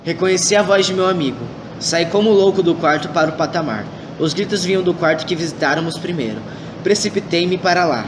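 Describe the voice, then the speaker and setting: A man's voice: high-pitched, animated, fairly quick. Man reading as the detective's companion, earnest narration, far from mic, noisy. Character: male companion narrating the case. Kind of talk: scripted storytelling narration. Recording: distant mic with loud noise.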